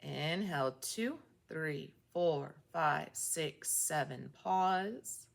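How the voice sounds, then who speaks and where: A woman guiding a breathing meditation, calmly counting breaths for a group. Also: low voice, vocal fry. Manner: slow, calm counting of breaths. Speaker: meditation guide. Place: meeting room of a recovery group.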